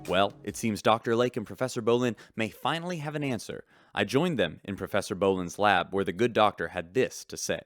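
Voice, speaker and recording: A man's voice: fairly low pitch, a little fast, hardly any pauses, dry recording, soft background music, natural voice. An actor playing a male news reporter on a spaceship's radio station in a sci-fi audio drama. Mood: measured, dramatic.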